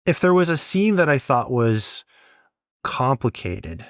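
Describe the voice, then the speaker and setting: A man co-hosts a casual podcast conversation. His medium-pitched voice is recorded dry, with no room sound. He speaks informally, doubling back on words as he thinks aloud. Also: big pitch swings, a little slow, fairly bright tone, no room sound. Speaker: podcast co-host and sound designer. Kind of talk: casual behind-the-scenes podcast chat.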